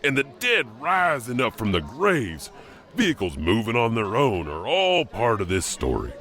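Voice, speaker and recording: A man with a fairly low, bright voice narrates urban legends for a podcast. He tells them conversationally, with very lively intonation.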